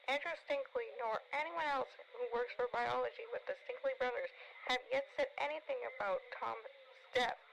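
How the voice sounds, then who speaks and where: A woman's voice: a little high, normal speed, gravelly, fairly bright tone, slight background noise, poor audio. A woman playing a somber radio news reporter, reading a formal scripted bulletin for an amateur horror series.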